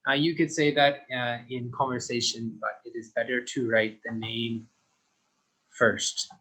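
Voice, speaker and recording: A male teacher walks young pupils through an English grammar lesson at an unhurried, careful pace. His pitch sits in the ordinary middle range, and he keeps his wording simple and clear for children. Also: flat delivery, bright, forceful tone, poor audio. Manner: speaks slowly and simply for young learners. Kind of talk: slow, deliberate recorded English lesson.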